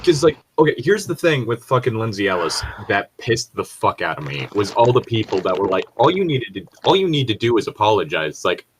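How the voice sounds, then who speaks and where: A man's voice: lowish voice, very animated, a little fast, few pauses, a little hiss or hum. A man in casual, opinionated conversation, talking bluntly and swearing heavily.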